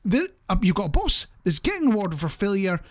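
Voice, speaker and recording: A radio presenter with a mid-pitched voice and very animated intonation. The delivery is casual and conversational, addressed straight to the listeners. It wanders into wry, jokey asides. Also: brisk. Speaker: radio show host.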